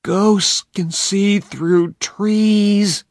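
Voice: medium pitch, big pitch swings, very slow, slightly rough, dry recording, clean studio sound, voice pushed up, exaggerated voice. A reader voicing a frightened young boy who whispers anxiously in a graveyard. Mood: scared whisper. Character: frightened boy Huck, jittery.

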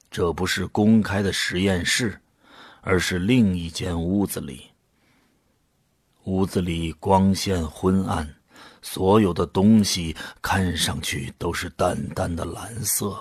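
A man's voice: sits low, husky, no room sound, clean studio sound, full character voice. An actor playing an earnest man who volunteers for a drug trial and tells his own story in a science fiction radio drama. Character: male first-person narrator, anxious but resolute drug-trial volunteer. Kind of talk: Chinese sci-fi radio drama acting and narration.

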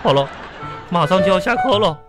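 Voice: mid-range voice, lively intonation, brisk, close to an everyday voice. Actor doing a fussy schoolteacher, drawly and scolding, radio comedy, music behind. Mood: exasperated. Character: fussy, exasperated schoolteacher with drawn-out folksy speech. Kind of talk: comedy radio sketch acting.

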